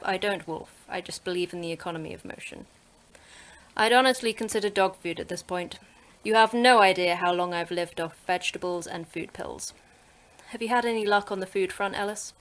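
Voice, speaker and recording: A woman's voice: mid-range voice, a bit bright, natural voice. A woman playing the terse, dry-witted manager of a secret facility in a sci-fi horror audio drama.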